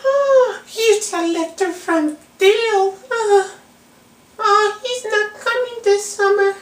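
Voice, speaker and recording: A performer voicing a feisty, excitable little girl puppet with a childlike, pugnacious way of talking. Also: very high voice, takes it slowly, voice pushed up. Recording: roomy, distant amateur recording.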